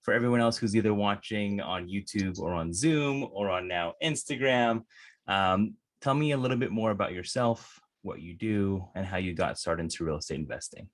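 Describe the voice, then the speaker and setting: A man with a fairly low voice hosts a casual podcast interview. He is upbeat in his intro, then asks his questions haltingly, with lots of fillers and restarts. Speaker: podcast host.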